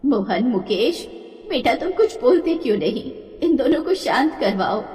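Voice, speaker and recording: Woman playing poor old granny in a cartoon, modest and caring, high lively voice. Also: normal speed, far from the mic. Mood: pleading. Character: kindly, humble, poor old village woman. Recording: echoey reverberant voice, faint noise.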